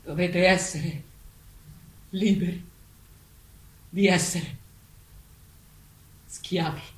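An actress playing a fervent, manipulative farm animal leader who preaches sacrifice in solemn theatrical oratory. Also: female voice, fairly low pitch, fairly even intonation, drawn-out words, recorded from a distance. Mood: solemn, grave. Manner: solemn, preachy oratory rising to impassioned cries. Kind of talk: theatrical stage monologue. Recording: echoey, noisy stage recording from afar.

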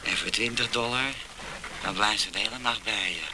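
A man's voice: on the low side, moderate pace, lightly acted. An actor playing a worldly, matter-of-fact man giving sly, confiding tips in a comic radio play. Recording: very noisy, clicky.

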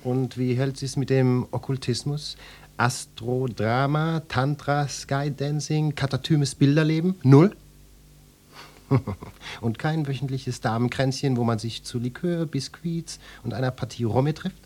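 Guy doing a self-important cop in a radio play, medium pitch, pompous, questions everything like an interrogation. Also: fairly even intonation, a little slow, a little mellow, close to an everyday voice. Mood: probing. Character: pompous, absurdly self-important male police detective. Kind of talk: German radio play acting.

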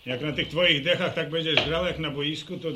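A man's voice: on the high side, normal speed, noisy background, natural voice. An actor playing a grumbling, teasing older village man in a Polish radio drama, repeating words as he needles. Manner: stammering repetitions, grumbly, needling.

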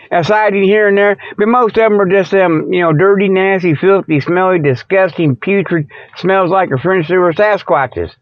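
High-pitched man rambling in folksy radio style. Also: no echo, muffled recording. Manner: folksy, colloquial, repetitive, amused by own jokes. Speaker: host of a comic Bigfoot report show. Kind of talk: rambling improvised comic monologue.

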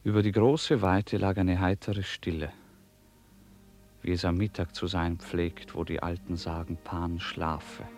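A man narrating a literary radio play with measured, deliberate phrasing. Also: low voice, flat delivery, a little mellow.

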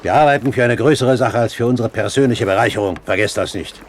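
A man's voice: mid-range voice, slight background noise, close to an everyday voice. Man acting a haughty, commanding older pirate captain. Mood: grave. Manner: formal, measured, authoritative. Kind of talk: German radio play acting.

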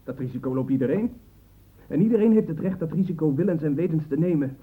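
A man's voice: a little high, fast, lightly acted. An actor playing a proud, defiant young man under questioning in a radio play, cool, curt and self-assured. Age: young adult. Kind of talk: radio play acting in Dutch. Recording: muffled, phone-like old radio recording.

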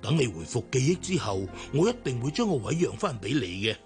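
A man's voice: medium pitch, very animated, fairly quick, faint music behind, close to an everyday voice. An actor with a Hong Kong Cantonese accent playing a scheming, persuasive businessman in a radio drama. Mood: smooth, pressing. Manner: coaxing, urging. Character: scheming businessman, smooth and persuasive to his superior.

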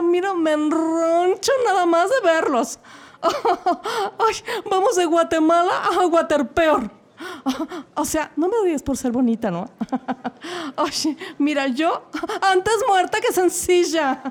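A woman playing a haughty, mocking posh lady in a Mexican radio comedy sketch, with a drawn-out whiny upper-class drawl. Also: very high voice.

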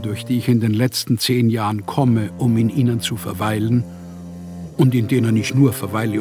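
An actor playing a melancholy, rootless Austrian writer recounting his life in a literary radio play, with a husky voice. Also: medium pitch, natural voice.

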